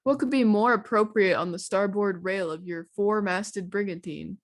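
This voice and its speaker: Female radio drama presenter, medium pitch, scripted and theatrical, wry formal delivery. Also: normal speed.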